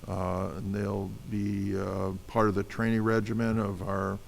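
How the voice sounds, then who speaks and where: A man, a senator chairing a public hearing in a halting, informal way. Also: fairly low pitch, monotone, creaky, slight background noise. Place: government hearing room.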